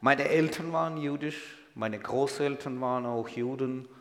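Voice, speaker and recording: A man's voice: medium pitch, measured pace, a bit bright. Older man in a hall. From Ukraine. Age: 60s. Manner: humorous, engaging the audience. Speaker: guest speaker. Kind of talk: personal testimony in church. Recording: echoey hall.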